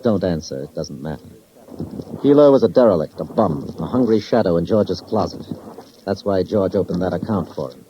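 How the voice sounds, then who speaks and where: Mellow, pompous, smug gentleman. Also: sits low, expressive, normal speed. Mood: wounded, disdainful. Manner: ornate, pompous, sardonic phrasing. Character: smug, pompous, suavely mocking professional man. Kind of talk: 1950s radio crime drama acting. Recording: dull, hissy vintage radio recording.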